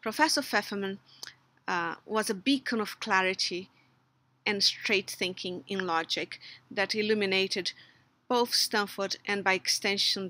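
Bright-voiced Portuguese-accented woman, hesitant. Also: medium pitch. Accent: Brazilian Portuguese. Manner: hesitant, many fillers and restarts. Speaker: logician giving a recorded tribute. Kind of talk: recorded memorial tribute, partly read. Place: outdoors under a patio umbrella in a garden.

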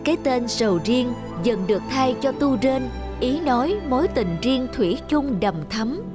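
A woman's voice: high-pitched, expressive, moderate pace. A woman with a Southern Vietnamese accent narrating a scripted folk tale. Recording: music behind the voice.

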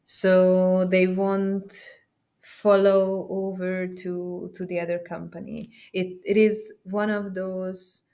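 A woman presenting as a software trainer, explaining a demo conversationally and repeating words as she searches for phrasing. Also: on the low side, monotone, takes it slowly.

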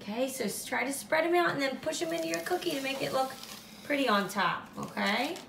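The recording is roomy, with clear noise behind the voice. A woman with a fairly high voice talks as a mother to small children. She gives simple step-by-step directions and keeps checking in with encouraging questions. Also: lively intonation, brisk. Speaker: mother baking with her children. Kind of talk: casual instructional chat with young children. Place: home kitchen or dining room, indoors. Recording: echoey room with clear background noise.

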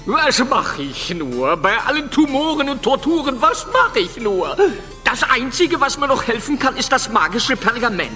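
A very high-voiced, sharp-toned evil sorcerer professor. He blusters, stammers and exclaims crankily, in the manner of a fantasy radio play for children. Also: sing-song, moderate pace, faint music behind, exaggerated voice. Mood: despairing. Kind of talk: children's fantasy audio drama acting.

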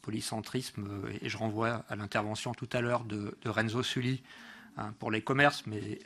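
A man giving a political speech as a local councillor, halting often and correcting himself as he goes. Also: on the low side, steady tone, fairly bright tone. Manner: hesitant delivery, frequent pauses and self-corrections. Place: council meeting room.

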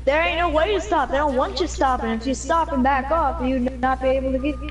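A woman streaming a racing game with friends, shouting, laughing and teasing her teammates. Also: high voice, brisk. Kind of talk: excited gameplay chat with teammates. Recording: game music playing behind.

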